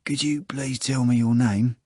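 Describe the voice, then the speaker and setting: A man acts out a miserable storybook drunkard on the morning after. His voice is hoarse and rasping, groggy and weak, and every phrase comes out halting and effortful. The sound is dry. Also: mid-range voice, steady tone, mellow, no echo. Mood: strained, weak. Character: wretched, hungover man, groggy and feeble. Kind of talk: audiobook character voice.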